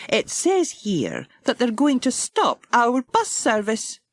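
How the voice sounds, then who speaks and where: Fretful village postmistress, played by a woman: indignant storybook character, hugely varied pitch, dry close sound. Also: wildly swooping pitch, takes it slowly, dry recording, heavily acted. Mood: cross, worried. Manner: indignant, worrying. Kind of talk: children's storybook dialogue.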